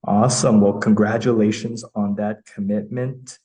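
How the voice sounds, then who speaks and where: A man interviewing a young athlete, asking questions in a relaxed, friendly way. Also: fairly low pitch, normal speed.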